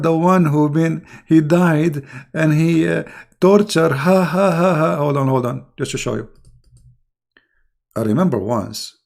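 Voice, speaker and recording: A man delivers a mocking, combative rant straight to his viewers. His voice is gravelly and husky, and he sounds hoarse, as if he is losing it. The pitch sits in a middle range for a man. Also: darkish tone. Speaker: online video host. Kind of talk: heated livestream rant.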